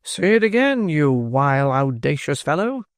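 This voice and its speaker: A man's voice: on the high side, very animated, normal speed, no room sound, studio quality. A man voicing a pompous, indignant older parish beadle in an audiobook reading. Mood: outraged. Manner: pompous, blustering.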